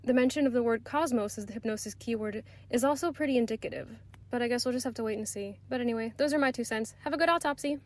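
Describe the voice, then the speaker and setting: Fast-talking woman with a higher voice. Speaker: listener sending a voice submission. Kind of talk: prepared spoken commentary.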